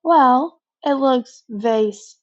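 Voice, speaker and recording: A woman puts on a sweet little sister from a picture book. She speaks high and earnestly, full of admiration, through slightly dull sound. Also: drawn-out words. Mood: admiring, encouraging. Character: sweet, encouraging little sister, small girl.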